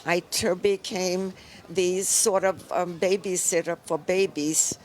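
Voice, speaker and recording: An older woman from Poland, giving a composed, measured account of her past in a live interview. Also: lowish voice, steady tone, a little hiss or hum. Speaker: Holocaust survivor interviewee.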